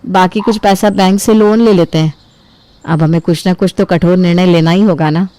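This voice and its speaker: A woman's voice: fairly low pitch, steady tone, moderate pace, warm tone, no room sound, lightly acted. A woman voicing a supportive, practical wife, reassuring and resolute, in a cartoon family story. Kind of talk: acted dialogue in a Hindi cartoon family story.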